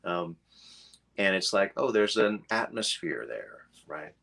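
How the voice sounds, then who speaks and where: A man's voice: sits low, very animated, slow, creaky, bright, forceful tone. A man teaching meditation in a casual, rambling way, full of fillers and easy laughter.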